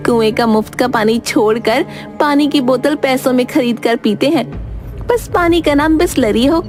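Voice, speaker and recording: A woman's voice: sits high, normal speed, darkish tone, soft background music, natural voice. A woman playing a cunning, boastful village wife who talks in a sly, pushy, persuasive way. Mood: scheming.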